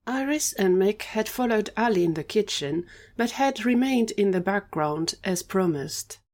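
A woman's voice: mid-range voice, lively intonation, a little slow, a little mellow. A woman reading scripted story narration for an audio drama.

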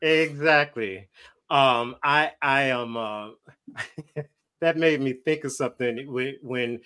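A man's voice: mid-range voice, slow, pushed and bright, smooth. A man hosting a video podcast interview, talking casually and laughing often. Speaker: podcast host.

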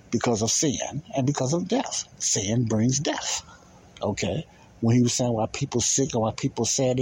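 A man's voice: mid-range voice, a little mellow, husky, rough recording. A man teaching the Bible in an informal sermon, speaking emphatically, with repetition and rhetorical questions.

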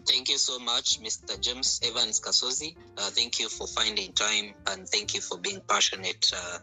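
A man's voice: on the low side, steady tone, normal speed, pushed and bright, soft background music, low-quality recording. A man hosting an online panel over call audio, polite and hesitant with frequent ums. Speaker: host, panel moderator.

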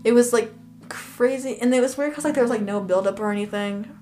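A young woman co-hosting a podcast, excitedly retelling a story with lots of "like" and laughter. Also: on the high side, fast talker, slight background noise. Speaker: podcast co-host. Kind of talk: casual chat.

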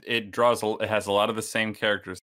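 A man explaining his view in a casual discussion, in a halting way as he works out each point. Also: on the low side, flat delivery, pushed and bright. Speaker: discussion panelist.

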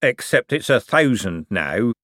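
A man's voice: medium pitch, big pitch swings, unhurried, a bit bright, no room sound, clean studio sound. A man voicing a greedy, sly old male craftsman who growls in coarse working-class speech. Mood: gruff.

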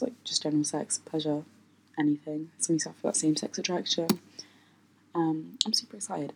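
A woman's voice: deep voice, creaky, warm tone, slightly rough, rough recording. A woman hosting her own podcast in an unscripted, hesitant chat, with lots of ums and light laughs. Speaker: podcast host.

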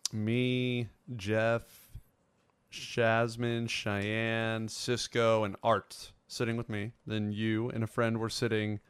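A man in his twenties hosting a casual chat, telling stories in an animated, joking way. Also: fairly low pitch, slow pace, clear, resonant tone, no room sound. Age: 20s. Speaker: host of a fan sports show.

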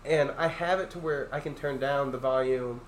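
A young man with a loud, deep voice that carries, chatting casually on a podcast at home and rambling through restarts. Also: normal speed. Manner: rambling, many restarts and fillers. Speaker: podcast host. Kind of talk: casual chat. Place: echoey room at home. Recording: echoey room, faint ambient noise.

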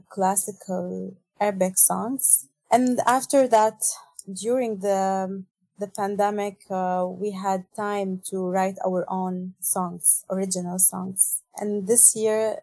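Woman singer from Syria, warm and flat delivery, dry close sound. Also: medium pitch, gravelly, no echo. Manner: soft-spoken, measured, with hesitations. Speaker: singer, band guest. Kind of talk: casual interview chat.

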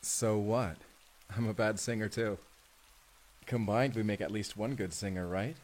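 Male actor as sincere young time traveler, lowish voice, confiding and casual, sci-fi audio drama. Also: measured pace, a little mellow, close to an everyday voice. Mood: reassuring. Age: young adult. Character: earnest, secretive young man from the future named Benji. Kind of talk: immersive audio drama roleplay acting.

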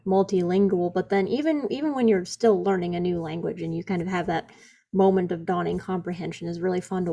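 A woman's voice: fairly low pitch, dark tone. A woman co-hosting an educational podcast, chatting casually about her teaching with frequent "you know"s. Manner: conversational, with fillers like "you know". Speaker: podcast co-host, Latin teacher.

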